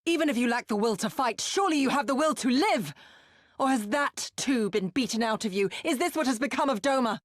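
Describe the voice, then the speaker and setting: An actress playing a proud, resolute retainer of a lord, speaking formally and earnestly in a video game cutscene. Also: female voice, fairly high pitch, animated, brisk, no echo, clean studio sound, lightly acted. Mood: impassioned, challenging. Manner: formal, archaic, earnest diction.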